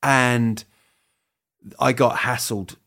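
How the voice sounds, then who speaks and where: A man's voice: medium pitch, fairly even intonation, very slow, a bit bright. A man in his fifties from England, a comedian, speaking with an English accent touched by New Zealand, in a rambling, self-mocking interview manner full of restarts and jokey put-on voices. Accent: English with a bit of New Zealand. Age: 50s.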